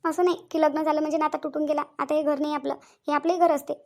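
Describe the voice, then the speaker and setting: A woman's voice: high voice, level tone, fast, a little mellow. A woman playing a tender, earnest young married village woman in a family drama, with a Varhadi Marathi accent and an emotive, pleading way of repeating phrases. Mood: wistful. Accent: Varhadi Marathi from Vidarbha. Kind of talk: amateur family drama acting.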